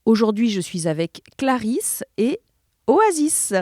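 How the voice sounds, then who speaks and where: A woman's voice: on the high side, big pitch swings, slow, warm tone, no echo, clean studio sound. A woman hosting a podcast, presenting and interviewing in an articulate, careful way.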